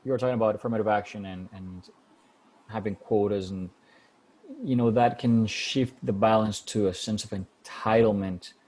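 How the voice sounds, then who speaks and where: A man making a measured, reflective point in a casual group discussion on a video call. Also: on the low side, fairly even intonation, darkish tone. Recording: faint noise on video call.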